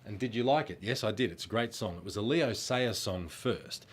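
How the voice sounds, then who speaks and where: A man's voice: on the low side, normal speed, breathy edge. A middle-aged Australian man with a blocked nose from a cold, chatting casually through fan questions in a friendly, rambling way with lots of ums. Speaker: former children's band singer answering fan questions.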